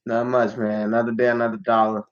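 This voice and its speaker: A man's voice: mid-range voice, level tone, quick pace, lo-fi sound. A young man from Ghana, a musician guest on a podcast, talking in a laid-back, slangy, rambling way. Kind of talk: casual interview chat.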